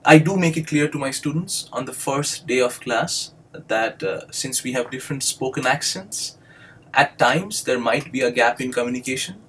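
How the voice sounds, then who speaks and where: A man's voice: mid-range voice, fairly bright tone, a little hiss or hum. Indian man talking with many ums. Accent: Indian English. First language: Bengali. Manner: measured and explanatory, many ums and uhs. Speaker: doctoral student and instructor. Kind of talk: recorded video monologue.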